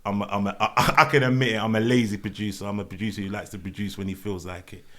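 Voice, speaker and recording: A man's voice: fairly low pitch, little pitch movement, quick pace, a bit bright. A young man with a London accent, a podcast guest and music producer, chatting casually in laughing, slangy banter. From England. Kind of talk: casual group chat.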